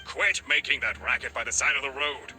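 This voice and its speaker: Scornful grumpy passerby. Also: male voice, medium pitch, a little fast, bright, forceful tone, soft background music. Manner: gruff, scornful.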